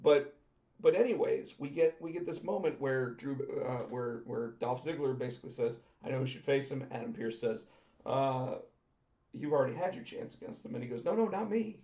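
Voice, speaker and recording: A man co-hosting a casual wrestling podcast, talking excitedly and repeating himself, with his voice going hoarse. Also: medium pitch, moderate pace. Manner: excited, talkative, repeats words when starting. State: losing his voice. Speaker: podcast co-host. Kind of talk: casual chat about pro wrestling. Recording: roomy, dull lo-fi sound.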